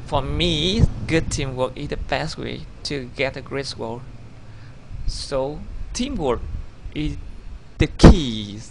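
A man in his thirties with a Vietnamese accent, a native Vietnamese speaker, narrating his own video CV over slides in a halting way, often correcting himself. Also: medium pitch, animated, vocal fry, very noisy. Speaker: job applicant.

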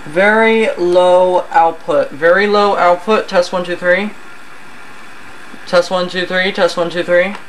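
A person thinking aloud in a halting mutter during a casual hands-on electronics demonstration at an indoor workbench. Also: mid-range voice, steady tone, fairly bright tone. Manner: halting, muttering to self while working. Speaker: hobbyist host of an electronics repair video. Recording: noisy camcorder audio with room ambience.

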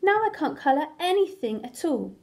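Lady reading as a fed up gold crayon from a picture book, sarcastic, high voice going up and down. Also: sing-song, normal speed, creaky, a little mellow, exaggerated voice. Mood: exasperated. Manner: exasperated, sarcastic rhetorical questions. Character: indignant, blunted gold crayon scolding its owner.

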